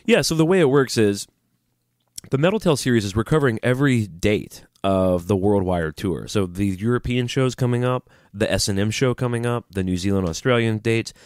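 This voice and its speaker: A man's voice: fairly low pitch, fairly quick, a little mellow, clean tone, no echo, clean studio sound. A man co-hosting a casual podcast with a stuffy nose, joking around and reading listener emails aloud. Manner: joking, breaks into singing. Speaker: podcast co-host. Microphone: studio microphone, preamp talk.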